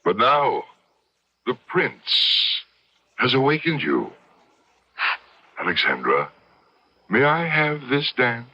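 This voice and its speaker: An actor playing a suave, gloating devil with a theatrical, sardonic, commanding manner in an old-time radio drama. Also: male voice, medium pitch, very animated, slow pace, long pauses, pushed and bright, exaggerated voice. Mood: suave, mocking. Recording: dull, lo-fi old radio recording.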